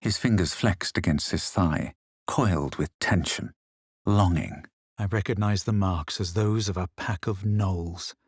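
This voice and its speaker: An actor playing a proud, self-assured adventurer in a fantasy game, with measured, theatrical delivery and a husky voice. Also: male voice, deep voice, no room sound, studio quality, exaggerated voice. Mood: brooding, grave. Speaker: player character voice actor. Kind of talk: dramatic scripted fantasy game lines.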